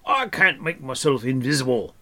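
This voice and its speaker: A man reading a storybook as a gruff, angry dwarf who is snappish, sarcastic and scornful. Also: mid-range voice, very animated, moderate pace, sharp tone, voice pushed up. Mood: exasperated, sarcastic.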